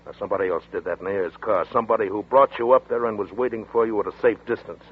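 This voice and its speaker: A man's voice: on the low side, fairly even intonation, quick pace, slightly rough. A man voicing a tough, weary detective friend who talks in a blunt, matter-of-fact way, in 1940s radio drama. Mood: gruff. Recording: tinny old radio broadcast.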